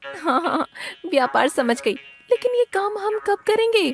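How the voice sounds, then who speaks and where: A woman playing an eager village wife in a cartoon story, speaking in an expressive, exclaiming way. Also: very high voice, a little slow, faint music behind, exaggerated voice. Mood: agreeable. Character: eager village wife, hesitant accomplice to husband's scheme.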